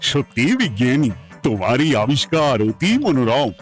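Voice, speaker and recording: A man's voice: medium pitch, expressive, moderate pace, soft background music, lightly acted. A man voicing a jovial, pleasure-loving king in a comic cartoon, grand and indulgent in manner. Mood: delighted.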